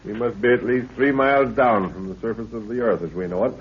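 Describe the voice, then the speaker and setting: An actor playing a brisk, commanding professor who leads a jungle expedition in a 1930s radio drama, authoritative and courteous. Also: male voice, mid-range voice, breathy edge. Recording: muffled, noisy 1930s radio recording.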